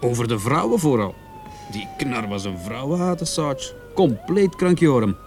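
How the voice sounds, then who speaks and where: A man's voice: medium pitch, huge pitch swings, moderate pace, darkish tone. An actor playing an insistent, suspicious younger policeman who defers to his superior in a Dutch radio play.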